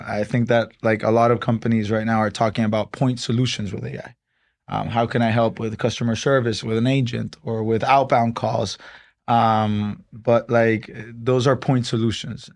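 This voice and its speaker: A young man from Honduras talks as a guest on a podcast, his voice sitting at an ordinary medium pitch. He explains things step by step in a relaxed way, with plenty of "um" and "like" between his points. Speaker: startup co-founder, guest. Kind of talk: casual podcast interview.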